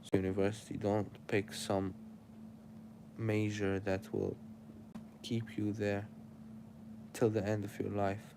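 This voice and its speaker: A man's voice: on the low side, flat delivery, slow, creaky, long pauses, background noise. A young man with an Arabic accent, sleepy and tired, preaching casual life advice straight to his livestream chat.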